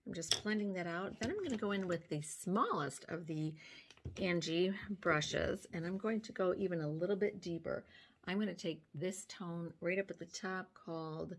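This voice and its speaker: Hoarse older woman explaining makeup gently. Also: lowish voice, moderate pace, noisy background. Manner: gentle, step-by-step explaining while applying makeup. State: hoarse from sinus trouble. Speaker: beauty vlogger. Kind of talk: makeup tutorial chat. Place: small room at home, indoors. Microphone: phone camera close up.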